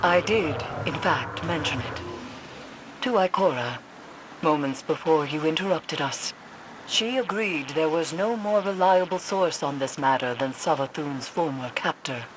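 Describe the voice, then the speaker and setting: A woman's voice: low voice, a little slow. A woman playing a grave, cryptic female mystic who guides warriors in a game, speaking formally and theatrically. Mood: curtly indignant. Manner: solemn, formal, ominous. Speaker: mission guide. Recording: noisy game sound effects behind.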